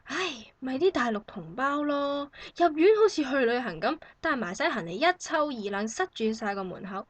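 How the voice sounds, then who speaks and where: A woman's voice: high-pitched, animated, normal speed, dry recording. A woman with a Hong Kong Cantonese accent acting a weary new mother in a satirical radio drama, sighing and complaining. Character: weary, grumbling new mother in a maternity ward.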